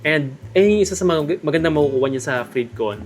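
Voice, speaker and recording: A man's voice: a little high, animated, a little fast. A man with a Filipino accent gives a casual, chatty product review, speaking directly to viewers in a jokey way. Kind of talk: casual unboxing vlog narration. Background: background music.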